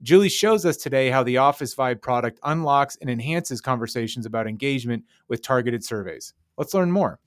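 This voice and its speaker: A man's voice: mid-range voice, expressive, a little fast, few pauses. A man reading a scripted, polished promotional introduction to a podcast episode. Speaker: announcer.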